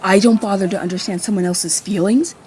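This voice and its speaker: A woman plays a defiant, jealous young fairy in a roleplay drama. Her voice is mellow and mid-pitched, her delivery confrontational, with faint noise behind it. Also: fairly even intonation, a little fast, natural voice. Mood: hurt, defiant. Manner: confrontational, pointed. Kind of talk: amateur voiced roleplay acting.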